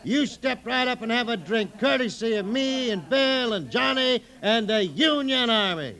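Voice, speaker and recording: An actor playing a boastful, maudlin, drunken Union sergeant in old-time radio drama style. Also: male voice, very high-pitched, animated, unhurried, sharp tone, exaggerated voice. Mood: drunken, confused. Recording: old radio recording, crowd noise behind.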